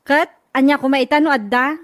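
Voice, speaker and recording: A woman's voice: high voice, normal speed, a bit bright. An actress playing a busy, neglectful, career-minded older sister and mother in an Ilocano radio drama, with an Ilocano accent. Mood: irritated.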